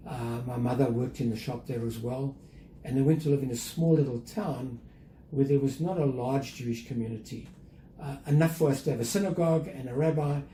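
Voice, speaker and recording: An older man with a South African accent, speaking as an interview guest in a measured way with frequent fillers and restarts. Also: medium pitch, mellow, roomy sound, a little hiss or hum, rough recording. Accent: South African English. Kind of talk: conversational interview.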